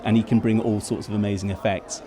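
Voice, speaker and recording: A man's voice: fairly low pitch, little pitch movement, fast talker. A man giving fluent, explanatory answers as an interview guest. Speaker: theatre company chief executive, interview guest. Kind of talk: backstage interview. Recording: busy event with crowd background.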